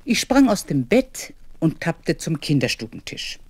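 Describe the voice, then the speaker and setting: Grandma telling childhood story in old radio play, very animated, close dry sound. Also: female voice, low voice, a little slow, slightly rough, dry recording, exaggerated voice. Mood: reminiscing. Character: grandmother fondly recalling her own childhood. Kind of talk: scripted storybook narration in 1970s radio play.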